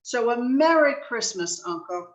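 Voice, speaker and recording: A woman playing a cheerful, warm-hearted young nephew in a dramatic reading, speaking earnestly and pleadingly. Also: on the high side, expressive, unhurried. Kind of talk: dramatic reading of a Victorian story. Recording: dull, echoey room sound.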